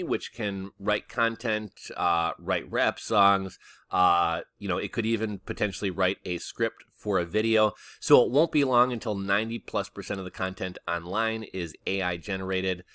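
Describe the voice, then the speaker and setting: Low, bright-voiced man giving commentary. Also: moderate pace, no echo. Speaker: video host, news commentator. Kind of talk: casual rundown commentary on economic news.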